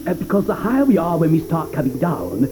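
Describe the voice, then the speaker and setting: A woman's voice: low-pitched, huge pitch swings, quick pace, noisy background. An actress playing an eccentric, fussy grown man who is theatrical and stammers when flustered, in a studio radio play with music underneath.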